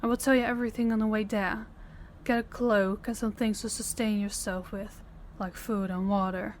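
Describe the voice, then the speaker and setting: A woman's voice: medium pitch, level tone, slight background noise, lightly acted. A woman playing a young princess, stern, principled and formal, in an audio roleplay. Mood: serious, urgent. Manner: formal, commanding, measured diction. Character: young princess, stern, authoritative, compassionate, defiant.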